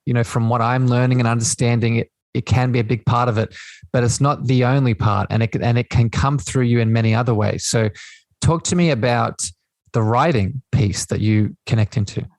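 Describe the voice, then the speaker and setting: A man's voice: medium pitch, fairly even intonation, fairly quick, darkish tone, no room sound. A man hosting a spiritual podcast, talking in a reflective way and often checking in with "right?". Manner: reflective, tags thoughts with "right?". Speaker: podcast host. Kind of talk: spiritual conversation.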